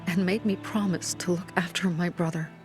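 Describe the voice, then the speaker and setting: An actress playing a resolute, hardened young survivor leader in a video game, earnest and measured. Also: female voice, on the low side, fairly even intonation, over music, lightly acted. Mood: somber recollection. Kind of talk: naturalistic video game acting.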